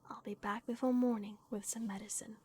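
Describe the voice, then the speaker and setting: A woman voicing a gentle, remorseful vampire guardian in a breathy, hushed whisper, as an ASMR roleplay. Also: mid-range voice, level tone, slight background noise, close to an everyday voice. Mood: tender, reassuring. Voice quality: breathy, whispered with creaky edges. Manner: slow, hushed, hesitant pauses. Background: dark castle ambience.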